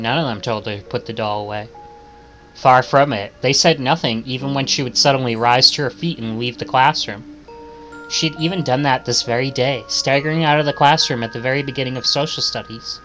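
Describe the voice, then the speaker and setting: A man's voice: medium pitch, sharp tone. A man reading visual novel text aloud as a streamer, stumbling and correcting himself, with casual asides.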